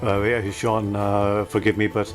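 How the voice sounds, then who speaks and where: A man with a County Cork Irish accent doing an informal radio interview, halting and stumbling over his words. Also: lowish voice, level tone, music in the background. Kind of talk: informal on-the-spot event interview. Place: busy indoor arts centre at a launch event. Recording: loud crowd in a busy hall.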